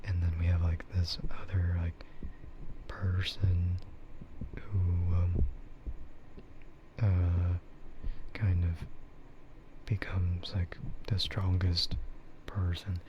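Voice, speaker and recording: A man with a low, breathy voice rambles in a soft ASMR half-whisper. He talks slowly and informally, often hesitating. The audio is muffled, with clear noise behind him. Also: little pitch movement. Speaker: VTuber streamer hosting an ASMR stream.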